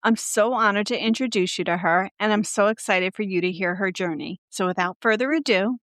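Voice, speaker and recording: A woman's voice: medium pitch, brisk, a bit bright, no echo, studio quality. A woman hosting an interview podcast, introducing her guest and asking questions in an earnest manner. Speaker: podcast host.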